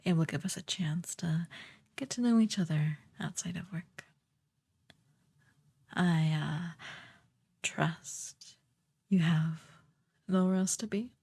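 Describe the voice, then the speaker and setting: Woman playing a slow, breathy, haughty evil queen. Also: lowish voice, dark tone, full character voice. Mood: softening, teasing. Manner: imperious, theatrically disdainful, drawn-out delivery. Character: haughty, sardonic evil sorceress queen dictating to a servant. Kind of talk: ASMR fantasy roleplay acting.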